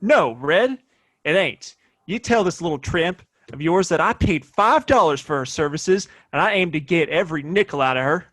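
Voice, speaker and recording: A man's voice: on the high side, lively intonation, normal speed, a bit bright. An American man acting a cocky, hot-tempered gunslinging bully in a western audio play, with a blustering, folksy way of talking. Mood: cocky, belligerent. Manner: blustering, folksy drawling insults.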